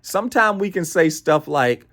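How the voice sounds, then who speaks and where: A man's voice: on the high side, animated, moderate pace, fairly bright tone. An American man presenting a motivational training talk in an emphatic, playful way, repeating phrases and often checking in with "right?". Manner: playful asides and chuckles.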